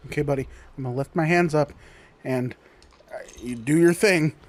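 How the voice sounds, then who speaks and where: A man's voice: fairly high pitch, normal speed. A man playing a tabletop game, chatting casually and improvising in character.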